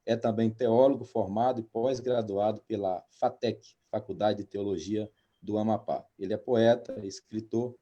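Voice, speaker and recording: Low mellow-voiced pastor in his fifties. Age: 50s. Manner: hesitant, many drawn-out filler sounds. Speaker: pastor and interview host. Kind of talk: interview, reading a guest introduction.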